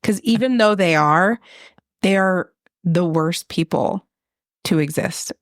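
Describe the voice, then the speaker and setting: A woman's voice: lowish voice, slow pace, dry recording, studio quality. A woman in her thirties co-hosting a podcast, chatting casually, joking at her own expense and laughing often. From New England. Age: 30s. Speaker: podcast co-host. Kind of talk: casual chat.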